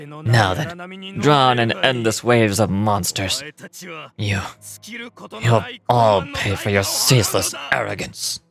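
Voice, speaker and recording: A man's voice: fairly low pitch, slow pace, long pauses. A man acting out an arrogant, cold video game villain who rules a hive mind, speaking in a pompous, formal, declamatory way. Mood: cold, commanding. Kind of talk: live amateur voice acting of game dialogue. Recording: loud game music behind. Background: game music.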